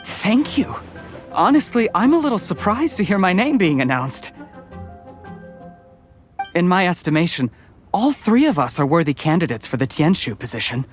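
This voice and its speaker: A poised young official speaks with formal courtesy and a lively rising and falling pitch. Faint game music runs behind. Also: male voice, normal speed, pauses often. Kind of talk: game dialogue.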